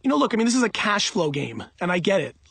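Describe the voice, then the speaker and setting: A man's voice: high voice, very animated, fast, gravelly, fairly bright tone, husky, no room sound. A man of about forty giving blunt, emphatic, informal advice in an off-the-cuff monologue. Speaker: audiobook author answering listener questions.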